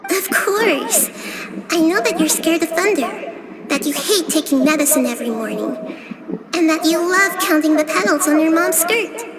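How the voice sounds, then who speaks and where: A woman voicing a kind, childlike goddess in a video game, speaking slowly and gently. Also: very high-pitched, expressive, over a noisy crowd. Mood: gentle. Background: game music.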